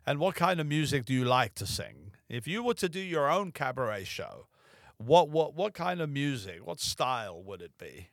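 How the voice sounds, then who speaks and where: A man's voice: mid-range voice, very animated, normal speed, no room sound, clean studio sound. A man hosting a podcast, asking long, winding questions in an easygoing, conversational way. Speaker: podcast host. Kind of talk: casual interview conversation.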